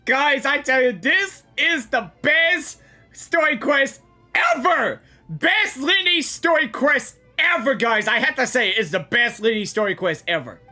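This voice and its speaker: A woman giving casual, excited reaction commentary on a game, slangy, exclamatory and repetitive. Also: high voice, unhurried, faint music behind. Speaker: gaming reaction host.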